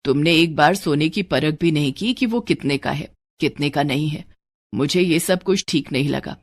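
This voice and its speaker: A woman's voice: low-pitched, moderate pace, no echo, studio quality, voice pushed down. A woman voicing a cautious, disapproving older mother-in-law who admonishes her family in a cartoon story. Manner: scolding, admonishing.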